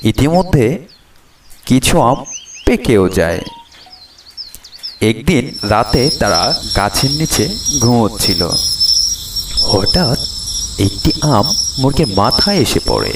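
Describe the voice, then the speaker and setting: A man's voice: mid-range voice, wildly swooping pitch, takes it slowly, long pauses, heavy background noise. A man giving a dramatic scripted narration of a fairytale as the storyteller. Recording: distant voice under loud music.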